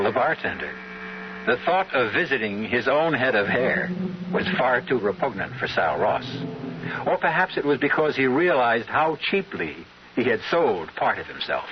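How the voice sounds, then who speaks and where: A man playing a wry, ominous storyteller, narrating a radio mystery in a measured, theatrical way. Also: on the low side, a little hiss or hum. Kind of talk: dramatic scripted narration. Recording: dull old radio recording. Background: music.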